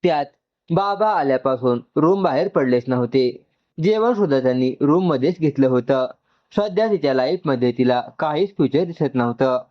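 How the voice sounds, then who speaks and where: A man's voice: mid-range voice, expressive, moderate pace, muffled recording. A man reading an emotional story as a scripted narration.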